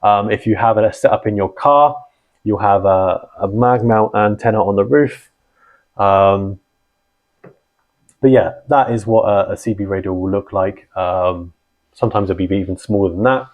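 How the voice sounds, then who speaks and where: A man hosting a channel, explaining gear in a relaxed conversational way full of ums and restarts. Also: fairly low pitch, moderate pace, phone-quality sound. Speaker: channel host and founder of a radio community. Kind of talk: casual explainer talk.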